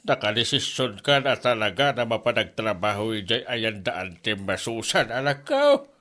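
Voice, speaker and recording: A man's voice: on the low side, very animated, moderate pace, bright, forceful tone. Man acting an exasperated, scolding Ilocano father. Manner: scolding, exclamatory. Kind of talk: Ilocano comedy drama acting.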